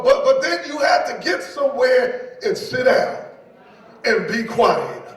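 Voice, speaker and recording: A man from New York City preaching a sermon as pastor, repeating key phrases and speaking straight to the congregation. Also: very high-pitched. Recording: echoey hall, distant mic.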